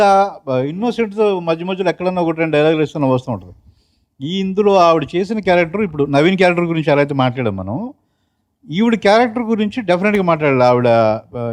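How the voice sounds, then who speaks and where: A man talking casually about actors in an interview. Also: high voice. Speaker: film team member, interviewee.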